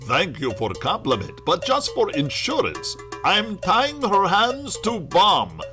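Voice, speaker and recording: A man's voice: high-pitched, huge pitch swings, slow pace, pushed and bright, faint music behind, heavily acted. A man playing a scheming, gloating Russian spy villain with a mock Russian accent and broken English in a campy radio satire. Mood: gloating menace. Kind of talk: campy over-the-top radio satire acting.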